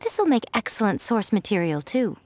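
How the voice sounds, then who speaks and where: A woman voicing a sly, playful adult editor who teases others in a smooth, amused manner in a video game. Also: medium pitch, big pitch swings, normal speed, a little mellow, slight background noise, natural voice. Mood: teasing. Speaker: light novel editor.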